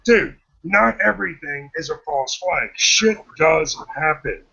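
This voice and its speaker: A laid-back man swears casually in an online chat. His voice is gravelly and husky yet bright. The call sound is dull and lo-fi. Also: fairly high pitch, lively intonation, a little slow.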